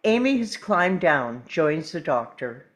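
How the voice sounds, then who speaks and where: Slow, measured woman reading stage directions. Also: sits low, big pitch swings. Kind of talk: scripted narration at a table read.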